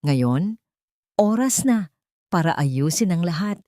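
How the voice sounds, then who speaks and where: A woman reading a scripted dramatic story as an audiobook narrator, in a measured, solemn storytelling manner. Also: fairly low pitch, lively intonation, mellow, no room sound, clean studio sound.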